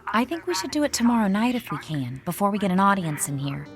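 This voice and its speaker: A woman's voice: mid-range voice, sing-song, fast talker, over music. An actress in a radio drama playing an intense, superstitious young woman who talks urgently and conspiratorially. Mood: earnest, conspiratorial.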